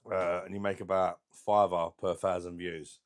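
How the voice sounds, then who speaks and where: Deep-voiced man with a sore throat. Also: level tone, moderate pace. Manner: rambling, laid-back, chuckling between remarks. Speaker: beer review channel host. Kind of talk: casual livestream chat reading viewer comments.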